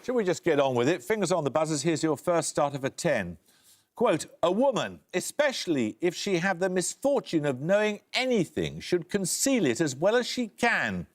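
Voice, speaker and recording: A man hosting a quiz show, reading questions crisply and briskly in a studio. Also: a little high, very animated. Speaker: quizmaster. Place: television studio.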